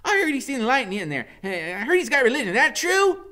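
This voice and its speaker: Man putting on a shrill, giggly, brash woman. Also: fairly quick, full character voice. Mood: gossipy. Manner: excitable, giggly, repeats herself. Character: brash giggly adult woman, tipsy and mocking.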